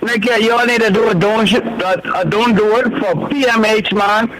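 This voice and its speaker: A man's voice: sits high, fairly even intonation, normal speed, over a noisy crowd, poor audio. A man with a Bahamian accent calling a radio phone-in, complaining informally in an emphatic, repetitive way.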